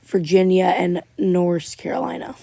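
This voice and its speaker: A woman giving a casual tropical weather update, her voice going hoarse as she keeps clearing her throat and restarting her sentences. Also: lowish voice, warm tone, no echo. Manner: halting, many restarts and fillers. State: losing voice, frequent throat clearing. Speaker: amateur weather forecaster.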